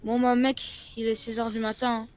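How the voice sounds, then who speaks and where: A woman's voice: on the high side, little pitch movement, a little fast, darkish tone. A woman improvising amateur role-play in casual speech, often repeating short phrases.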